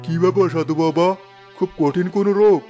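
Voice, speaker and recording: A stately fairy tale king acted by a man, with a notably high male voice. He speaks formally, as in a cartoon, over soft music. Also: quick pace, highs cut off, close to an everyday voice. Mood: anxious. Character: anxious, commanding, remorseful fairy tale king. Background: background music.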